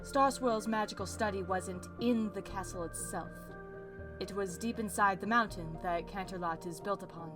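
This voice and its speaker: A woman's voice: medium pitch, normal speed, lightly acted. A woman playing a worried, regal pony princess of the night in a cartoon comic dub, speaking in formal, archaic royal diction. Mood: solemn, formal. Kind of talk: fan comic dub acting. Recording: music behind the voice.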